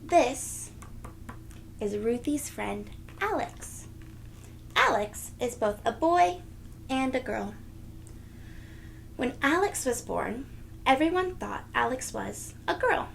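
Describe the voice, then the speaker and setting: A woman reading a children's picture book aloud as a storyteller, in a deliberate, teacherly, sing-song way for young listeners. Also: on the high side, animated, creaky, slight background noise, poor audio.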